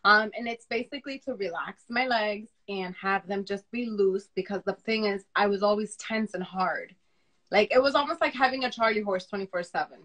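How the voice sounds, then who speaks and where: Candid woman w a loud bright voice, casual chat, repeats stuff to make her point. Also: mid-range voice, rough recording. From Dominican upbringing. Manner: candid, emphatic, repeats phrases for emphasis. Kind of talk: casual personal conversation. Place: remote live video chat.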